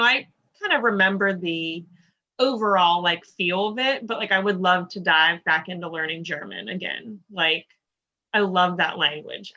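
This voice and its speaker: A woman's voice: fairly low pitch, pushed and bright, muffled recording. A woman hosting a casual podcast interview, upbeat and chatty, with plenty of fillers and "like". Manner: upbeat, lots of fillers and 'like'. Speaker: podcast host.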